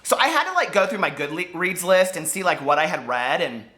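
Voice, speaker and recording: A man chatting casually to camera as a book vlogger, gushing and animated, wandering off on tangents with lots of filler words. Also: fairly high pitch, fairly quick. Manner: gushing, digressive, peppered with fillers.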